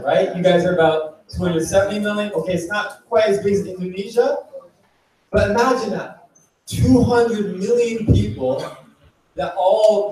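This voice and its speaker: A man's voice: high-pitched, darkish tone, a little hiss or hum. Man speaking from far off in an echoey hall. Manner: chatty, engaging, quizzes the audience. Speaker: startup founder giving a talk. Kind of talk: conference talk to a live audience. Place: event venue.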